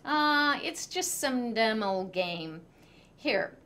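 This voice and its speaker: A woman voicing a whiny, scornful older brother in a children's storybook, quick to snap. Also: fairly high pitch, lively intonation, fairly bright tone, full character voice. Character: whiny, bossy older brother, a bickering schoolboy.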